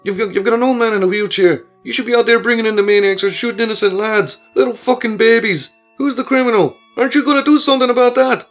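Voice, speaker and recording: A man voicing a furious older man in a hospital bed, shouting insults. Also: very high-pitched, fast talker, few pauses, pitched above the performer's own voice. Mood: raging. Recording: dull lo-fi sound with faint music.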